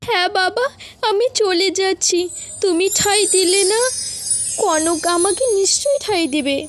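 A woman's voice: very high voice, normal speed, pitched above the performer's own voice. A woman voicing a lovestruck, stubborn young female cartoon bird, coy and pleading, in a children's story. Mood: hurt, earnest. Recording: music behind the voice.

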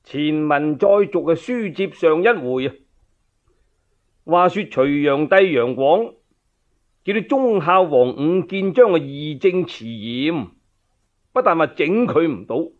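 A man's voice: a little high, lively intonation, slow, narrow, tinny audio. A man with a Cantonese accent narrating as a storyteller in a dramatic, traditional radio style.

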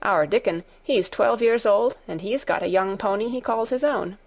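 Woman doing a homely yorkshire maid, chatty and outspoken, a bit gravelly. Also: medium pitch, moderate pace, close to an everyday voice. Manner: homely, outspoken, broad dialect. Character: good-tempered chatty young Yorkshire maid.

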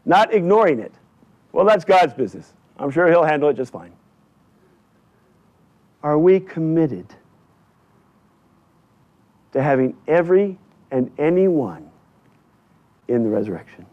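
Guy pastor giving a sermon, kinda higher voice, deliberate phrasing, bit of a dull lo-fi church recording. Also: very animated, darkish tone. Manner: emphatic, deliberate short phrases with repetition. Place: indoors in a church at a lectern.

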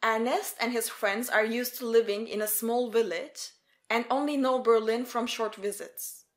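Female narrator, slightly high voice, scripted documentary storytelling. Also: steady tone, moderate pace.

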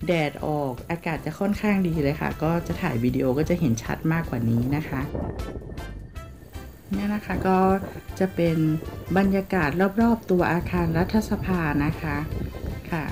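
A woman from Thailand narrating a casual travel vlog, polite and chatty, with soft endings on her sentences. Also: low voice, normal speed, vocal fry, over music. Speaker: travel vlogger. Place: outdoors in a city, partly on a tram.

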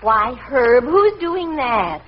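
A sweet, gently teasing housewife and mother in an old radio comedy. Her voice is high-pitched, and the vintage recording is muffled. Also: big pitch swings, extremely slow, heavy background noise, heavily acted. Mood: gently amused. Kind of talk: 1940s radio comedy acting.

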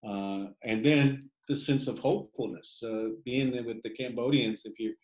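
A man who writes, speaking about his book on a video call in a halting, thoughtful way with many fillers. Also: medium pitch, steady tone, roomy sound, low-quality recording. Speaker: poet reading his work. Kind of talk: reflective talk in an online reading. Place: home room on a video call.

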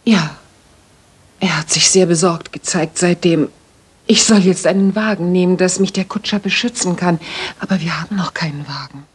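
A woman's voice: lowish voice, normal speed, husky. An actress playing a worried, polite young lady in a German radio drama, recounting carefully and earnestly.

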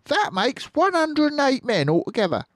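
A man's voice: extremely high pitch, huge pitch swings, dry recording, clean studio sound, pitched above the performer's own voice, exaggerated voice. Bean, a man voicing a sneering cruel farmer. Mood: menacing. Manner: loud, sneering, drawn-out menace. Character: scheming thin male farmer, cruel and cunning. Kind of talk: storybook reading.

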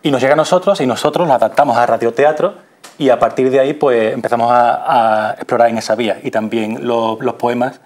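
A man's voice: medium pitch, fairly quick, a little mellow. A man in his thirties with an accent from Spain, explaining conversationally as a studio interview guest on a lapel mic. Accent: Spanish from Spain. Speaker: interview guest, radio theatre performer. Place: small TV studio.

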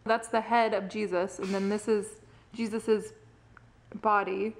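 A woman's voice: medium pitch, a little slow, reverberant. A young woman chatting casually and laughing a lot while filming at home on a handheld camera. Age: young adult. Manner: giggly, chatty, excitable. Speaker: vlog co-host. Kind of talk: casual chat. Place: home kitchen and living room, indoors.

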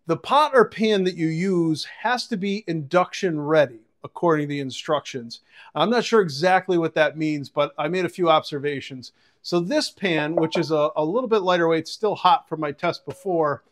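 A man in his forties giving an enthusiastic, casual, conversational product review and demonstration to camera. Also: on the high side. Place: home kitchen, indoors. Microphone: clip-on lapel mic.

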